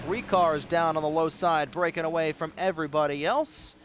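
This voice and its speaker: A high-pitched man calls a sim race with eager play-by-play, his voice a little raspy. Race car engines hum clearly behind him, and his tone jumps at the close calls. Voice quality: slightly raspy. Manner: excitable, fast-moving play-by-play with sudden rises in pitch at close calls. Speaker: race commentator. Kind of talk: sim racing play-by-play commentary. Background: race car engine noise.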